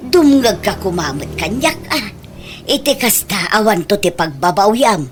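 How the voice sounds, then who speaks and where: A woman with an Ilocano accent playing a protective village mother who gently scolds and cautions, in a radio drama. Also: medium pitch, lively intonation, normal speed, a little hiss or hum, natural voice. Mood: cautioning. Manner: admonishing, motherly.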